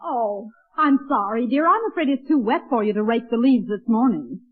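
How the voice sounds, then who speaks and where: A woman's voice: on the high side, expressive, moderate pace, close to an everyday voice. An actress playing a kindly but fussy, politely insistent neighbor lady in an old-time radio sitcom. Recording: dull vintage radio recording.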